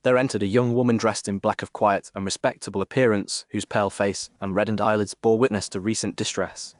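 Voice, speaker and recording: A man playing an observant Victorian gentleman doctor who narrates an audiobook story in formal, literary, measured fashion. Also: fairly low pitch, steady tone, no echo, studio quality, close to an everyday voice. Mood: measured, reflective. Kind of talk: scripted first-person story narration.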